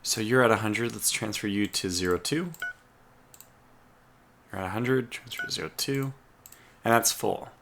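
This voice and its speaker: A man with a bright, forceful edge on a somewhat low voice talks his way through a strategy game. He is going hoarse, and he mutters orders and asides to himself as he plays. Keyboard typing sounds behind him. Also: moderate pace, pauses often, a little hiss or hum. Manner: muttering to himself while playing. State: losing his voice, clearing his throat. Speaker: let's play host. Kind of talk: casual gameplay commentary.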